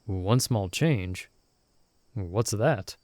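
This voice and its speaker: A young man voicing a loyal friend stranded in another world, who talks in a soft-spoken, gently teasing and reassuring way in an ASMR roleplay recorded close to the mic. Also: lowish voice, expressive, drawn-out words, no room sound. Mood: gentle, reassuring.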